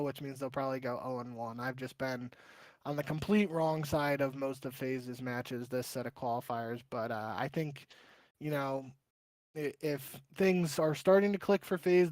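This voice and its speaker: A man's voice: medium pitch, darkish tone, dry recording, low-quality recording. A man co-hosting a livestreamed podcast in casual, chatty talk, poking fun at his own predictions. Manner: chatty, self-deprecating about his picks. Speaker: podcast co-host.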